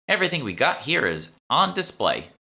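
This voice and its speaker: A man's voice: mid-range voice, huge pitch swings, a little fast, gravelly, pushed and bright, voice pushed up, full character voice. A man voicing a teenage salesgirl with a Boston accent, casual and explanatory, in an audiobook. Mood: guarded. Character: teenage salesgirl, evasive then chatty, knowing alchemy shopkeeper. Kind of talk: audiobook character dialogue.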